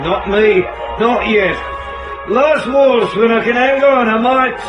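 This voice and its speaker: An actor playing an easygoing husband who prefers drink to dancing, in a radio drama with roomy, dull sound. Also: male voice, very high voice, slow pace. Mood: offhand. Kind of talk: British radio drama acting. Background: music.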